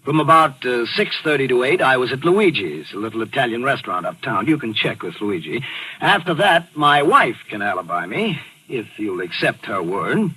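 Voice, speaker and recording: An actor playing a sly, smug, composed murderer in a 1940s radio thriller. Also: male voice, mid-range voice, very animated, normal speed, bright, forceful tone, breathy edge, exaggerated voice, rough recording. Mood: guarded, casual. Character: sly male actor, smug murderer narrating his crime. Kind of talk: 1940s radio thriller acting and first-person narration. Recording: old radio broadcast recording with music.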